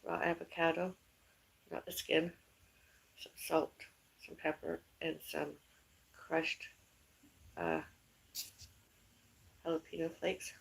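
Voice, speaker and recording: A woman with a raspy voice, rambling casually on a live stream from her home kitchen. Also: sits low, expressive, slow pace, long pauses, rough recording. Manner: rambling, chatty, calls out to someone in another room. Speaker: vlogger.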